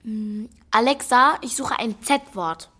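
Slow, unsure young girl. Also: fairly high pitch, bright, forceful tone, slightly rough, full character voice. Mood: hesitant, curious. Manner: hesitant, subdued. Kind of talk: children's radio play dialogue.

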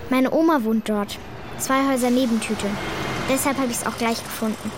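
A woman's voice: fairly high pitch, steady tone, normal speed, heavy background noise, natural voice. A female performer playing a reluctant, candid schoolgirl in a children's radio play, speaking in a hesitant, defensive, childlike way.

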